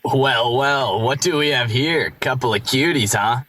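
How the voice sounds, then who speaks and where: A man's voice: mid-range voice, unhurried, sharp tone. A man playing a sneering, condescending small-town bully in an amateur radio play, mocking and taunting.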